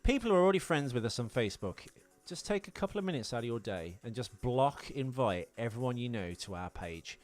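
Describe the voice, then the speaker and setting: A man's voice: mid-range voice, lively intonation, a little fast, few pauses, soft background music. A British man co-hosting a podcast, talking casually. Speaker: podcast co-host. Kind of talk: casual chat and podcast sign-off.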